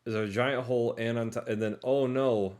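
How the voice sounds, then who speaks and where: A man co-hosting a podcast, chatting casually in an informal, halting, jokey way. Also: medium pitch, fairly even intonation, a little mellow. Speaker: podcast co-host. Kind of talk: casual chat about movies.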